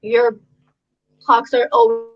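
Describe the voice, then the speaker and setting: A woman's voice: on the high side, sing-song, takes it slowly. A woman researcher, born and raised in Iran with Persian as her first language, talking earnestly in a casual, conversational way with many ums and restarts. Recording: dull lo-fi audio.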